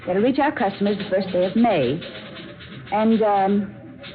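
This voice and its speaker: Radio drama role: woman playing efficient female supervisor, courteous but businesslike, medium pitch, lo-fi vintage recording. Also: a little slow, close to an everyday voice. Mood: formal, businesslike. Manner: businesslike, precise. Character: brisk, formal, efficient female office supervisor. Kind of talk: old-time American radio drama acting. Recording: dull, lo-fi old radio recording.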